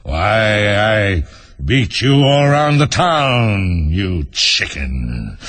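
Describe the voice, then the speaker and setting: Slow, drawling, hollow-toned sleepy lion. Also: male voice, big pitch swings, vocal fry, fairly bright tone, breathy edge, heavily acted. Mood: grumpy. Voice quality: deep, hollow tone. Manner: drawling, yawning between words. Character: slow, sleepy, weary old lion, grumpy and boastful.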